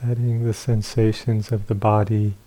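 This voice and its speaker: A man giving guided meditation instructions as a teacher, calm and unhurried, with long pauses between short phrases. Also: fairly low pitch, low-quality recording.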